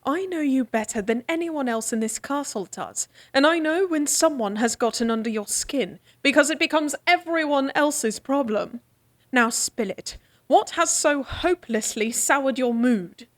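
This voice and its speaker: A woman playing a candid, devoted lady-in-waiting in a fantasy audio drama, speaking formally with a gently teasing, coaxing manner. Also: high voice, animated, unhurried, dry recording. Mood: teasing, prodding.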